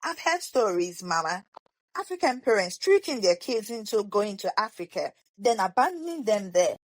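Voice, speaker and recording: A woman's voice: medium pitch, big pitch swings, moderate pace, no echo, poor audio. Woman putting on a cocky American teenage boy. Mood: defiant, suspicious. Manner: casual, defiant.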